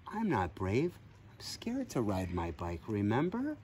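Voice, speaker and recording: A man's voice: fairly low pitch, huge pitch swings, measured pace, darkish tone, a little hiss or hum. A man voicing an anxious, hesitant young girl goat in a children's storybook, outdoors. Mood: doubtful. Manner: hesitant, worried.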